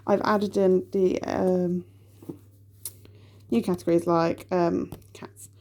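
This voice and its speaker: A woman's voice: lowish voice, little pitch movement, slow pace, darkish tone. A woman in her thirties from the UK, chatting to camera at home about her budget, sniffly and rambling with lots of ums. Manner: chatty, rambling, with many ums and self-corrections. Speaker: budgeting vlogger. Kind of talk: casual chat to camera while counting cash.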